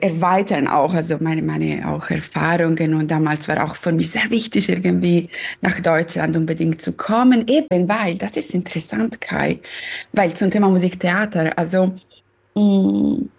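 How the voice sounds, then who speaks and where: A pianist from Sicily, Italy, a woman whose first language is Italian, speaking haltingly with many fillers while joining an interview by video call from home. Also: fairly low pitch, creaky, a little mellow, breathy edge. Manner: hesitant, searching for words, many fillers. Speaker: guest pianist. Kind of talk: casual interview chat.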